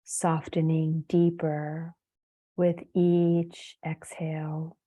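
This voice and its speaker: Very slow, mellow woman guiding meditation. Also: deep voice, monotone. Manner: soothing, unhurried, long pauses. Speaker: yoga nidra and meditation teacher. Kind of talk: guided meditation.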